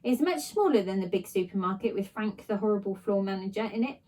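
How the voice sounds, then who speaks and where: A woman's voice: mid-range voice, lively intonation, a little fast, warm tone. A woman reading a children's story aloud expressively, narrating in a child's first person. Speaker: story reader, teacher.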